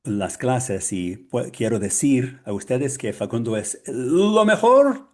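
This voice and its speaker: Slow, halting man with a Mexican-tinged accent. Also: medium pitch, sing-song, darkish tone. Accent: Mexican-influenced Spanish. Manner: hesitant, searching for words with many fillers. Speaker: Spanish student and co-host. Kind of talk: casual podcast chat.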